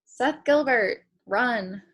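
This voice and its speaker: A woman's voice: fairly high pitch, extremely slow, fairly bright tone, exaggerated voice. A woman playing a wary, blunt, indignant schoolgirl in a comic stage play reading. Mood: exasperated. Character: skeptical, indignant schoolgirl meeting her future self. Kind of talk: stage comedy acting in a table read.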